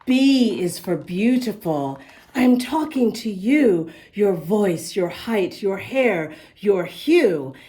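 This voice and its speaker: A woman reading a picture book aloud to young children as a storytime host, expressive and often speaking to them directly with questions. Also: mid-range voice, slow pace.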